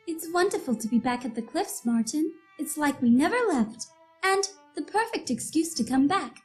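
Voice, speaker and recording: A woman's voice: sits high, lively intonation, normal speed, soft background music. An actress playing a polite, wide-eyed country woman of the 1910s in a nostalgic radio play, genteel and exclaiming. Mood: gracious.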